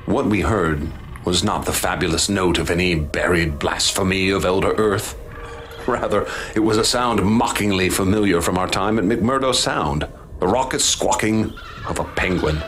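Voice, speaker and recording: Deep male narrator, grave measured telling, radio play, faint background hiss. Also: fairly bright tone. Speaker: narrator and expedition leader.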